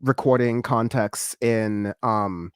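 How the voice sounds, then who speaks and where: A man with an American accent carrying slight British traces, chatting casually and explaining things in a halting, rambling way. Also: lowish voice, fairly even intonation, clean tone, no echo, clean studio sound. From born in California, spent much time in northern England. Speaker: guest on a talk show.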